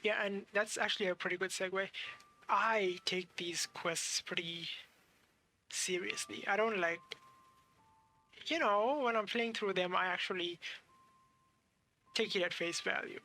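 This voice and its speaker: A game streamer giving casual, halting commentary, thinking aloud while playing. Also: medium pitch, bright, forceful tone. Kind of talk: casual let's play commentary.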